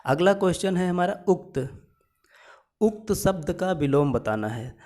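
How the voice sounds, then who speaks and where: A man's voice: high voice, animated, unhurried, warm tone. Man teaching by repeating answers. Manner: explains step by step, repeats answers, asks and answers his own questions. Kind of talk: exam-prep lesson.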